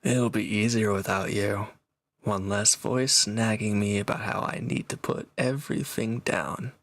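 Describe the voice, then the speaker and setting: A man playing a proud, teasing reformed villain and swordsman in an intimate audio roleplay, talking cockily to one listener in a gravelly voice. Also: on the low side, steady tone, measured pace, a bit bright, dry recording, clean studio sound. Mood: defensive, dry.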